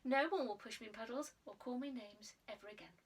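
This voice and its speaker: A woman voicing an earnest, proper schoolboy who talks primly and turns shrill when he protests. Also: a little fast, breathy edge, recorded from a distance. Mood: proud. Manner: prim and self-important, rising to shrill protest.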